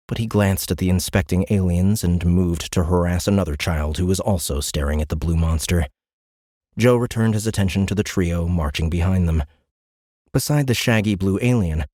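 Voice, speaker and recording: A man with a low voice narrates fiction for an audiobook. His delivery is dramatic, scripted storytelling. The recording is close and dry, free of room sound. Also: darkish tone, no echo.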